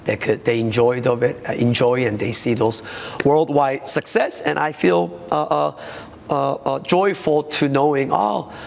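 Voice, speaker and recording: Korean-accented man, halting speech. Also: mid-range voice, gravelly, in a large hall, a little hiss or hum. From South Korea. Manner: halting, many uh fillers, nonnative grammar. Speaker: taekwondo master. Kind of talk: interview.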